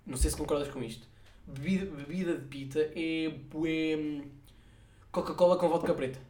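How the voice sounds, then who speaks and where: A man's voice: fairly high pitch, fairly even intonation, normal speed, dark tone. A young man with a European Portuguese accent chatting casually as a podcast co-host, slangy and full of swearing. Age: young adult. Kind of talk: casual banter with a friend. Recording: roomy, echoing room sound.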